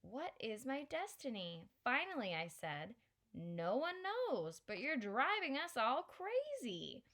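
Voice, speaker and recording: A woman's voice: high voice, huge pitch swings, slow pace, fairly bright tone, pitched above the performer's own voice, exaggerated voice. A woman reading aloud and voicing a blunt, wry Filipino grandmother in a slightly raised, matter-of-fact voice. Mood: storytelling. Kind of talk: audiobook character reading.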